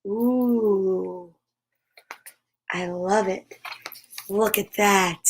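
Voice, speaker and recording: A woman hosting a children's story time, reading picture books aloud with expressive exclamations and chatty, self-interrupting asides to viewers. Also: medium pitch, very slow, slight background noise. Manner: expressive, gushing exclamations and gasps.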